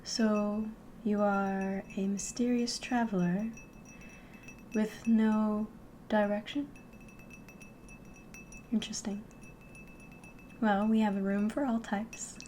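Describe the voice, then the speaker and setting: Woman playing a soft, slow-talking fantasy innkeeper. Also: medium pitch, flat delivery, slight background noise, lightly acted. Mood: welcoming, curious. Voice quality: soft, breathy, often whispering. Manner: gentle, unhurried, polite, hospitable. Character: friendly, curious female innkeeper of a fantasy tavern. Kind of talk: ASMR roleplay, softly spoken to the listener.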